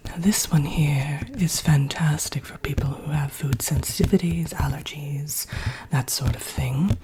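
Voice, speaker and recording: Woman as a slow, whispering wicked queen. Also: low voice, slight background noise, rough recording. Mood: sly, pleased. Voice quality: soft, breathy whisper. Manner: genteel, unhurried, drily wicked. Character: haughty, wicked fairy-tale Evil Queen teaching recipes. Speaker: workshop presenter. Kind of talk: whispered ASMR roleplay.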